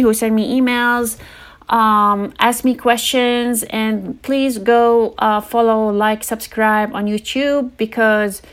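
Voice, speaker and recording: Casual woman teaching phrases slowly. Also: fairly high pitch. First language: English. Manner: slow repeat-after-me phrase drilling. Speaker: language teacher, podcast host. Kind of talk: casual spoken language lesson.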